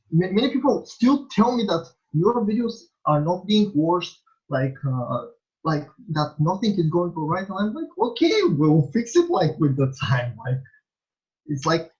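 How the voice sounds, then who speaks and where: A young man from Bulgaria, a native Bulgarian speaker with a Bulgarian accent, answering interview questions in a halting way, full of fillers and restarts. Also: a little high, darkish tone. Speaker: interviewee, small vlogger. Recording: dull lo-fi recording.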